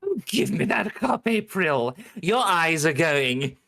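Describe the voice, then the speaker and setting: A woman's voice: deep voice, sing-song, slow pace, vocal fry, fairly bright tone, no room sound, exaggerated voice. A woman playing a half-blind, doting elderly former actress at a table read, fussy, coaxing and theatrical. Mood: fussy, contrary.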